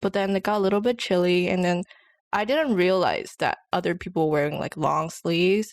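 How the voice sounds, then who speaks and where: A woman's voice: fairly low pitch, fairly quick, dry recording, clean studio sound. A young woman co-hosting a podcast, telling stories in a casual chat and filling her talk with "like". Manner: chatty storyteller, many "like" fillers. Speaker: podcast co-host.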